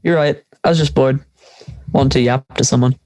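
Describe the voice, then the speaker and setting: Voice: mid-range voice, fairly even intonation, moderate pace, darkish tone, close to an everyday voice. A teenage performer playing a carefree, teasing school friend in conversational audio drama dialogue. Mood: breezy. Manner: laid-back, teasing.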